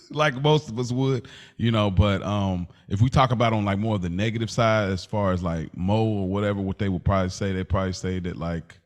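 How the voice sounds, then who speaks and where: Casual, slangy man with a lowish voice. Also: fairly quick. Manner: slangy, animated storyteller, often says "bro" and "like". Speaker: podcast co-host. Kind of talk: casual chat.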